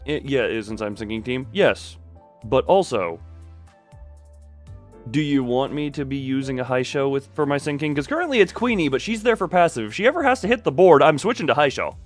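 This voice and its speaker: A man's voice: medium pitch, very animated, brisk, faint music behind. A man doing a casual, rambling game stream, playfully joking and talking back to his viewers.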